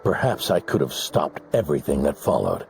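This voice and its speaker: An actor playing an older veteran knight in a game, speaking with measured, solemn storytelling gravity in a gravelly voice. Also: male voice, a bass voice, huge pitch swings, darkish tone, soft background music, full character voice. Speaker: game character.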